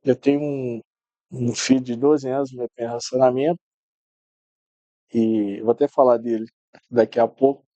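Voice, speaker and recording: A man's voice: medium pitch, fairly even intonation, normal speed, long pauses, dark tone, no room sound, muffled recording. A middle-aged man, a podcast guest telling stories in casual chat, often restarting and repeating words. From Minas Gerais, Brazil.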